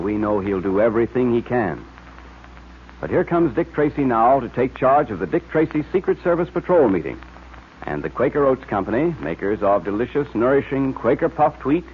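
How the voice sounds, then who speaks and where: A male American announcer from old-time radio, emphatic and polished, with a very mobile middle-pitched voice. The recording is narrow and phone-like, with clear hiss. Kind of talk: 1940s radio advertising and serial narration.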